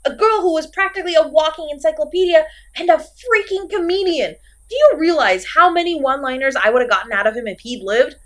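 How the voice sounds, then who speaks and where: Female comedy-horror slasher, acted by a woman: highish, wide-swinging pitch, theatrical, exasperated, flipping from sulky to manic. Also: animated, fast talker, few pauses, fairly bright tone. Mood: indignant. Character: failed slasher killer, woman, melodramatic, insecure, petulant, comically chatty. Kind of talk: comedic horror audio roleplay, acted monologue to listener.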